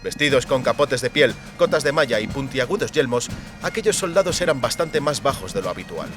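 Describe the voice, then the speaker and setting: A man narrates a fantasy audiobook in a medium-pitched voice. His delivery is measured and descriptive, clearly read from a literary text. Music plays faintly underneath. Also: animated.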